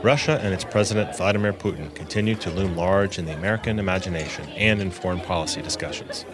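A man hosting a podcast, reading a scripted introduction and asking interview questions in a measured, formal way, recorded in a studio. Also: sits low, crowd noise. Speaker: podcast host. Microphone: studio microphone.

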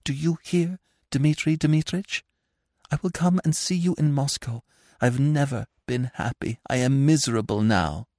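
A distraught young woman, high and breathless, pleading in desperation, as a male reader puts her on. The voice swings widely as she begs, and the recording is dry and close. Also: animated, slow, gravelly, pauses often, darkish tone, husky, dry recording, heavily acted. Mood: tender pleading. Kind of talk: audiobook reading of a classic novel.

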